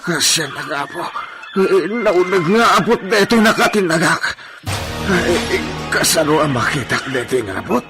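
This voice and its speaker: A man with an Ilocano accent acting an excitable character who mutters and wails to himself in a radio drama. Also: a little high, normal speed. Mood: pained, wailing. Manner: muttering to himself with moans and exclamations. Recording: loud music behind the voice.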